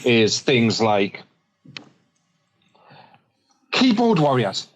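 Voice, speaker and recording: A man in a casual podcast chat who explains things in a measured, reflective way. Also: medium pitch, wildly swooping pitch, slight background noise.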